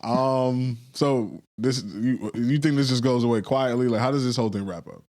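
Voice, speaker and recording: A man's voice: mid-range voice, normal speed, darkish tone. A man hosting a casual podcast chat, arguing and swearing loosely with friends. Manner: argumentative, swearing, laughs often.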